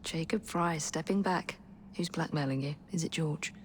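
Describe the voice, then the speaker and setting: An actress as a low-voiced, poised English assassin. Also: female voice, moderate pace, a little hiss or hum, natural voice. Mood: earnest, composed. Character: poised, principled young Victorian woman assassin. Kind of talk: natural dramatic game cutscene acting.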